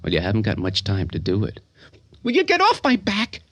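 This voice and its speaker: An actor playing a sly, vengeful, disturbed son in a radio drama, swinging between needling mutters and excited outbursts. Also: male voice, lowish voice, fairly quick, no echo, full character voice. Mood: agitated. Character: disturbed grown son arguing with his own other self, sly, vengeful.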